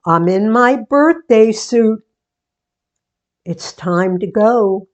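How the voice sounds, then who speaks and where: Female, unhurried and theatrical, medium pitch, for a sentimental, playful daughter in old-time radio drama acted out by a woman. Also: animated, muffled recording. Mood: wistful, tender. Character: sentimental daughter, book-show hostess, playfully resisting marriage. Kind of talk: 1940s radio theatre monologue acting.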